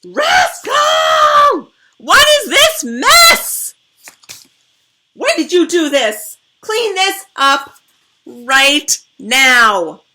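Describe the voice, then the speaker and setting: A woman doing a shrill, furious shouting mom. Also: big pitch swings, very slow, heavy background noise, heavily acted. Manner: shouting and scolding. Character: furious scolding mother.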